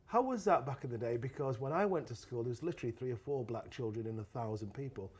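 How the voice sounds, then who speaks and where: A man's voice: mid-range voice, expressive, fast, husky. A middle-aged man hosting a shopping show interview in a jovial, bantering way. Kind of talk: promotional presenter interview chat.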